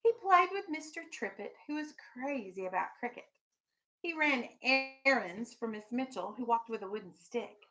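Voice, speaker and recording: A woman teaches an elementary class in a high, bright voice. She explains and reads aloud in an instructional way, putting questions to pupils and keeping them firmly on task. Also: expressive, moderate pace, low-quality recording. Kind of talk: classroom reading lesson and read-aloud.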